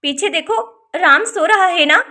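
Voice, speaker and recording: A woman's voice: very high voice, measured pace, pushed and bright, exaggerated voice. Woman voicing a scheming, bossy aunt. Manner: mischievous, bossy. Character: scheming middle-aged aunt.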